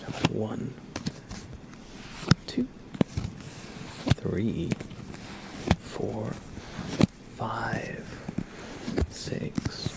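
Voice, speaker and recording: A soft-spoken man whispering gently and politely in a casual ASMR livestream, chatting with viewers and reading cards. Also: fairly low pitch, vocal fry, very noisy.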